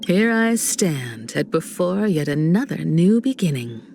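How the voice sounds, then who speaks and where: Casting: elegant adult woman navigator for a video game, unhurried, lively intonation, reassuring poise. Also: low-pitched, creaky, a little hiss or hum, full character voice. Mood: reflective, admiring. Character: graceful, composed, warm adult woman, spacefaring train navigator. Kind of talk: polished game voice acting.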